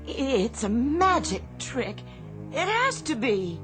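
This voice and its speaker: Timid, cautious wife, slow and fretful with lively pitch, radio drama. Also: female voice, music in the background, exaggerated voice. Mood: disbelieving, wary. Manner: hesitant, fretful. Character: anxious, distrustful shopkeeper's wife, timid and cautious.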